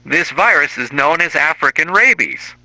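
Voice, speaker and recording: A man's voice: fairly high pitch, expressive, normal speed, bright, forceful tone, no room sound, poor audio. A man reading a scripted emergency alert as a broadcast announcer.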